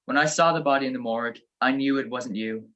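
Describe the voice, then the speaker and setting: An actor playing a self-assured, witty private detective, wry and confident, in an old-time radio drama style. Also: male voice, mid-range voice, quick pace, sharp tone, lo-fi sound, natural voice. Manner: wry, explaining deductions with cool confidence.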